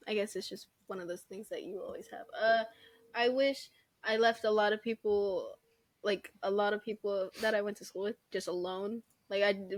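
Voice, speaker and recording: A woman's voice: medium pitch, no echo. Frank young woman musing aloud. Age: young adult. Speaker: podcast co-host. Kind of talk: casual chat.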